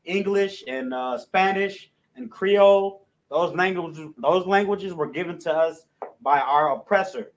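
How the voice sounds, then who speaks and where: A man teaching a language class, speaking with frequent restarts and fillers, and reading out an alphabet letter by letter. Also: high voice. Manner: halting, many restarts and fillers. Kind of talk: classroom lesson, reciting alphabet.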